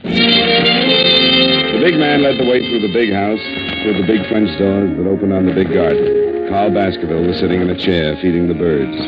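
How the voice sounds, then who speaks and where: A man's voice: sits low, muffled recording. An actor playing a wry, hard-boiled male insurance investigator with dry, sardonic delivery in a 1950s radio drama. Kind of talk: 1950s radio drama, first-person noir narration. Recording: band-limited old radio recording with music.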